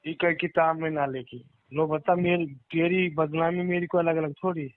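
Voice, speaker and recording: A man's voice: fairly high pitch, steady tone, moderate pace. A man with a Mewati accent chatting informally in rustic dialect on a recorded phone call. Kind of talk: casual private phone chat. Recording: tinny phone line, roomy echo.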